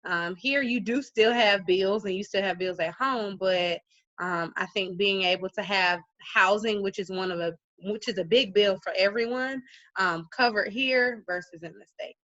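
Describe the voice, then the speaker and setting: A chatty woman from Atlanta talks in a slangy, playful way. Her voice has a middle pitch. The call audio is slightly dull and lo-fi. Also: normal speed, fairly bright tone. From Atlanta, Georgia, US. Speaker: teacher. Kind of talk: casual video-call chat. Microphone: video call.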